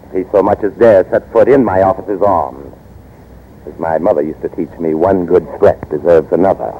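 An actor playing a bold, headstrong young American consul in old-time radio drama, cocky, forceful and plain-spoken, with an American accent. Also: male voice, deep voice, moderate pace, a little hiss or hum, natural voice. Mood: defiant, mocking. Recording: dull vintage radio recording.

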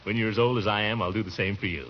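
An American actor playing a blustery but fond middle-aged uncle in a 1940s radio comedy, with chuckles and theatrical exasperation. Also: male voice, fairly low pitch, steady tone, rapid-fire, lightly acted. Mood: exasperated, fond. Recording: noisy, muffled 1940s radio recording.

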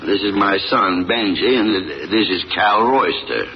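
An actor playing an older rural father and hound keeper who talks in a calm, firm, folksy drawl in a 1940s radio drama, on a dull old recording. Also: deep voice, a little slow, slight background noise.

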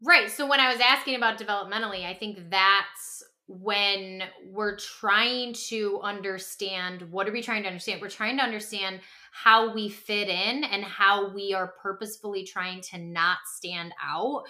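A woman's voice: medium pitch, normal speed, few pauses. A woman co-hosting a podcast, explaining ideas casually and emphatically with rhetorical questions. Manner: animated, emphatic, uses rhetorical questions and acted-out quotes. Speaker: podcast co-host.

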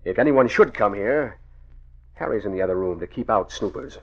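A man's voice: fairly low pitch, expressive, normal speed, creaky, breathy edge, full character voice. An actor playing a nervous, cautious male racketeer boss who speaks in an uneasy, restrained way, in a dull old radio recording. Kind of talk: 1940s radio acting.

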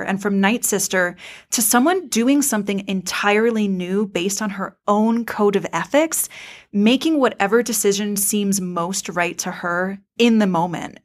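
A woman hosting a podcast, giving articulate, emphatic opinions in a casual fan discussion. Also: medium pitch. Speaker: podcast host.

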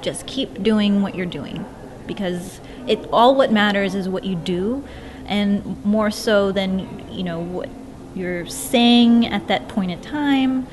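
A woman's voice: mid-range voice, slow, a crowd in the background. A woman giving encouraging advice in a conference interview. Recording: noisy conference ambience.

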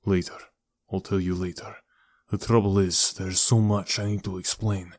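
A grave, aging uncle, acted by a male narrator, speaking low and subdued. He is weary, protective and regretful, with measured, deadpan storybook dialogue in a dry recording. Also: dry recording, lightly acted. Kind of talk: audiobook character dialogue.

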